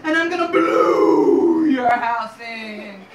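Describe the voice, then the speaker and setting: A woman's voice: very high voice, expressive, pitched above the performer's own voice, heavily acted. A woman plays a big bad wolf from a children's tale, menacing and theatrical, dragging out the words. The recording is distant and echoey, with noise behind. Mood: threatening. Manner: slow, drawn-out, theatrical. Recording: roomy, distant mic, noisy background.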